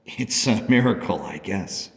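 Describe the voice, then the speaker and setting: A man's voice: on the low side, animated, a little slow, slight background noise. An actor playing an earnest, grateful father in a radio play, telling his story emphatically and emotionally. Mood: matter-of-fact. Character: earnest, grateful father of a young daughter, searching for answers. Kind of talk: dramatic first-person storytelling. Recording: long reverberant hall echo.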